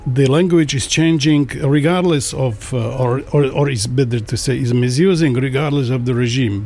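Halting man. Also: medium pitch, a little mellow, a little hiss or hum. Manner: halting, frequent restarts, non-native phrasing. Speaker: translator and interpreter. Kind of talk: panel discussion answers.

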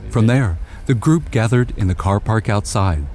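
A male reporter narrates in a calm, even voice-over. His voice is fairly low and mellow, with a dark, relaxed tone. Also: moderate pace, slight background noise.

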